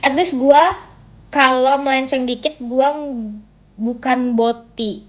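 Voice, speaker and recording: A young woman chats playfully on a phone livestream, teasing and poking fun at herself. Her voice sits a little high and she talks at an unhurried, drawn-out pace. The sound is dull and lo-fi, like a phone mic. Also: fairly even intonation. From Indonesia. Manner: playful, teasing, self-mocking banter. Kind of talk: casual chat. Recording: dull lo-fi phone stream audio.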